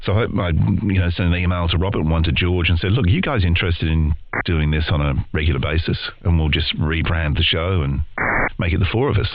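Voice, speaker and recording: A man's voice: low-pitched, fairly quick, no room sound. An Australian man talking casually in an interview, telling things in a rambling, informal way. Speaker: interview guest, podcast co-host in voiceover and audio. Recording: dull lo-fi radio audio, loud noise, clicks.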